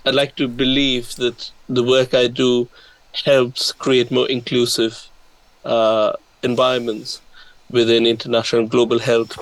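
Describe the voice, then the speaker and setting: A man speaking as an academic panel guest, introducing his work in a measured conversational way. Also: mid-range voice, creaky, dry recording, poor audio. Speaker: panel guest, medical historian.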